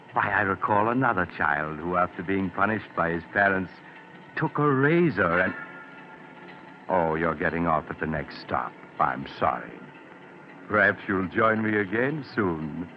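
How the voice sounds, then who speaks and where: An actor playing a genial yet sinister mysterious stranger hosting a 1940s radio drama in a wry, conversational, macabre manner. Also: male voice, deep voice. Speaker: host. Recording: muffled, hissy 1940s radio recording.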